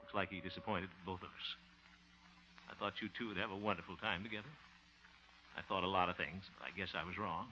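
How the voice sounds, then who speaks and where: A man's voice: deep voice, fairly even intonation, sharp tone. A man playing a bumbling, good-hearted fellow in a 1950 radio sitcom, sentimental and prone to chuckling. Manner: sentimental, chuckling, drawn-out delivery. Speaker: lead comic actor and show host. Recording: muffled noisy 1950 radio broadcast.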